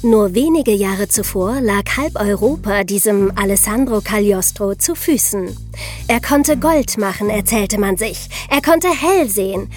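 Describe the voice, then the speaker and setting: A woman's voice: mid-range voice, lively intonation, a little slow, darkish tone, soft background music. A woman, co-hosting a podcast and telling history in a conversational storytelling style. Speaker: podcast co-host.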